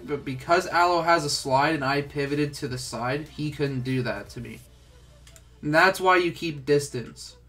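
Young man, congested nose, slightly high voice, casual game commentary, faint music behind. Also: a bit bright. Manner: casual, lots of "like" fillers. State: stuffed up, congested. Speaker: gaming tutorial host.

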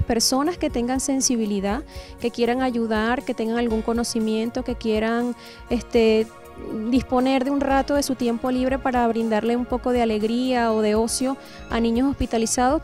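A fairly high, very soft-edged woman's voice with a Venezuelan accent. She gives an interview statement into a handheld mic outdoors, with faint music behind her. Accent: Venezuelan Spanish. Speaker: academic advisor, interviewee. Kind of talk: prepared interview monologue to camera. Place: outdoors, in a garden courtyard. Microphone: handheld microphone close to the mouth.

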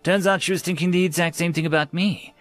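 A man voicing a calm, shrewd woman prisoner in a story, soothing and gently probing. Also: sits high, quick pace, pitched above the performer's own voice.

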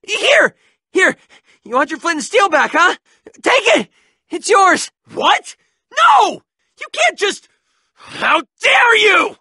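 A man's voice: extremely high pitch, big pitch swings, slow, long pauses, bright, forceful tone, dry recording, studio quality, heavily acted. An actor voicing an arrogant young male rival gang leader in a video game, sneering and taunting with mocking laughs. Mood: panicked pleading.

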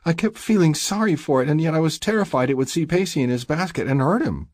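A man's voice: on the high side, animated, quick pace, darkish tone, no echo, voice pushed up. A man voicing a frightened, compassionate young mother in an audiobook, with a breathless, trembling, pleading delivery. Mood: shaken, anxious. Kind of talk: audiobook character dialogue.

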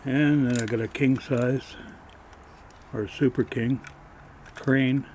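A man showing his collector's finds in a casual, matter-of-fact way, pausing as he handles each piece, recorded on a camera mic. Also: medium pitch, steady tone, darkish tone, slight background noise. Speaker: toy collector showing flea market finds. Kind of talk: casual show-and-tell narration.

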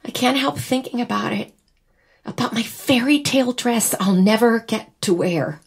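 A woman's voice: fairly high pitch, moderate pace, husky. A woman playing a wry, wistful young American bride in a naturalistic audio drama. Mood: wistful, upset.

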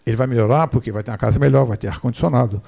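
A man's voice: medium pitch, rapid-fire, creaky, dark tone. An older man with a Brazilian Portuguese accent gives a digressive lecture at a podium, now and then asking for the next slide. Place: hall with projector screen, indoors. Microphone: podium microphone.

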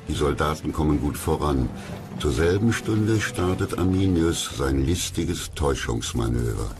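A man reading scripted history documentary narration in a measured, grave manner. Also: a bass voice. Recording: loud music under narration.

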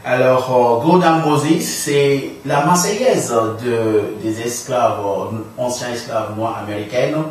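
A middle-aged man hosting an informal radio interview, feeling his way through sentences with halting phrasing. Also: medium pitch. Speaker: radio talk show host. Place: small radio studio room, indoors. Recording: very echoey room, distant, faint noise.

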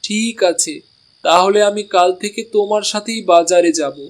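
A man's voice: sits high, normal speed, natural voice. A man voicing an honest, humble village butcher in a cartoon, with an earnest and plaintive way of talking. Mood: grateful. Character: honest, downtrodden village meat seller, a husband. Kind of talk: Bengali cartoon dialogue. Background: soft music.

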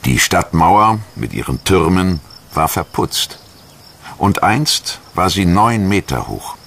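A man reading scripted documentary narration in German in a measured, factual manner. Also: sits low, big pitch swings, vocal fry, a bit bright, slight background noise. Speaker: documentary narrator and voice-over translator.

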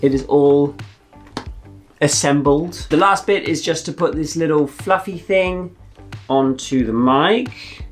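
A man's voice: fairly high pitch, slow pace, soft background music. A young man chatting casually and explaining things to camera as a vlogger, with an enthusiastic, exclaiming manner. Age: young adult. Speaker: travel vlogger. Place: wood-panelled living room.

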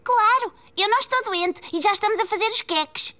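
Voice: very high-pitched, brisk, pushed and bright, soft background music. A voice actor playing a cheerful, eager four-year-old boy in a cartoon, with a European Portuguese accent. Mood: disappointed. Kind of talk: children's cartoon voice acting, European Portuguese dub.

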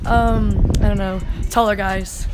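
A woman's voice: medium pitch, steady tone, a little slow, music in the background. A teenage girl answering questions outdoors at a school, confident and sure of her opinions. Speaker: interviewee.

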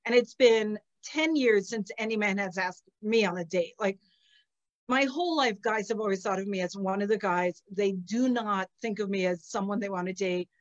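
A middle-aged woman on a video call from an outdoor terrace, a little sniffly, chatting casually and telling animated stories with frequent laughter. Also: a little high, lo-fi sound. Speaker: psychic channel host. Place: outdoor terrace with potted plants. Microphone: laptop webcam on a video call.

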